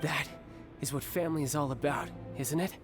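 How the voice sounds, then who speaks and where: An actor playing a cocky, breezy young warrior and devoted big brother in a video game. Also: male voice, a little high, fairly even intonation, fairly quick, music in the background, close to an everyday voice. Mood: earnest. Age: young adult. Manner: breezy, self-assured.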